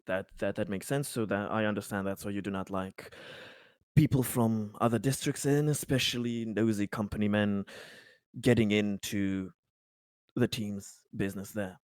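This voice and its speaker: A man playing an earnest, conciliatory company man in a tabletop game, pleading his good intentions in halting, repeated phrases. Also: lowish voice, steady tone, darkish tone, no room sound, studio quality, natural voice. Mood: conceding, polite.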